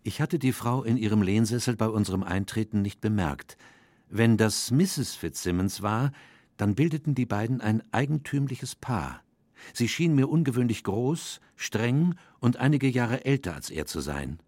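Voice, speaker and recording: An actor playing a measured, reflective gentleman who narrates a radio play in the first person. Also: mid-range voice, lively intonation, no echo, close to an everyday voice. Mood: observant.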